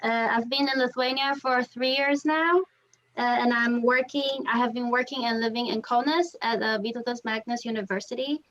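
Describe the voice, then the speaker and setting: A woman's voice: fairly high pitch, fairly even intonation, normal speed, a bit bright, low-quality recording. A woman in her thirties from Taiwan, introducing herself in a chatty way on a video call. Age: 30s. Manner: chatty, light laughter, filler uhs. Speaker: discussion participant. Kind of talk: casual self-introduction.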